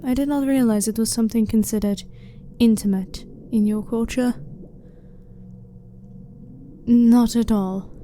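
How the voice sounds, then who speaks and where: A woman playing a blunt, clinical but harmless alien scientist in an ASMR sci-fi roleplay, speaking in a formal, measured, matter-of-fact way. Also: fairly high pitch, fairly even intonation, dark tone, lightly acted. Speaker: ASMR voice performer. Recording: clearly noisy ambient background.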